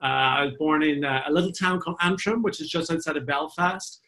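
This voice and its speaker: A man's voice: on the high side, fast, sharp tone. A middle-aged man with a Northern Irish accent, a flute player chatting as an interview guest, friendly and talkative with many fillers. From Northern Ireland. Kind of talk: interview guest, conversational storytelling.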